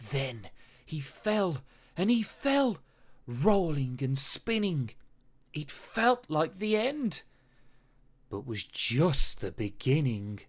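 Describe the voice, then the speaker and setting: A storyteller reading a rhyming children's story aloud with expressive, dramatic phrasing. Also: medium pitch. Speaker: storybook reader. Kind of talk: children's read-aloud narration in rhyme.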